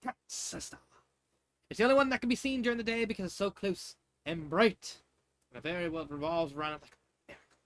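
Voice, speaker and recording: A man's voice: high-pitched, steady tone, fairly quick, no echo, close to an everyday voice. Man reading as an eager, earnest young boy. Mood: excited. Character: earnest, eager young male cousin, space enthusiast. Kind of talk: amateur fan fiction reading.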